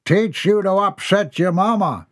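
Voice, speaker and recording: A man's voice: sits high, a little slow, voice pushed up. A man playing a blunt, bossy Southern tobacco farmer, middle-aged, speaking in a raised voice. He orders his family around in a storybook reading.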